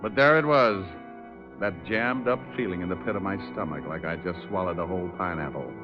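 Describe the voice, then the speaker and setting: Casting: male actor as tough, wisecracking gumshoe, deep and dry-humored, vintage radio noir sound over music. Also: fairly quick, lightly acted. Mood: wry narrating. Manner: wry, flirtatious, deadpan. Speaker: lead actor and narrator of a detective radio show. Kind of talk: old-time radio noir acting with first-person narration. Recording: dull old radio recording, music behind.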